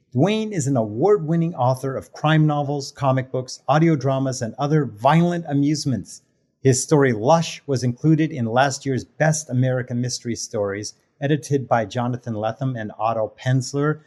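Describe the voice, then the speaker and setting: Male podcast host, medium pitch. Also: moderate pace, a little mellow. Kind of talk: podcast introduction and interview.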